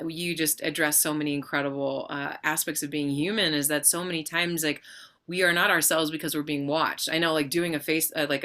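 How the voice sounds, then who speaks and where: A woman's voice: low voice, pushed and bright. A woman hosting a casual interview, bubbly and effusive, laughing often and gushing over her guest. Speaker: host. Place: video call livestream.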